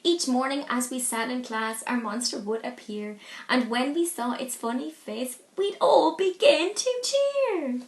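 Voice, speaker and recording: A woman reading a picture book aloud to young children in an animated, deliberate way. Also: high-pitched. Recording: echoey, roomy sound.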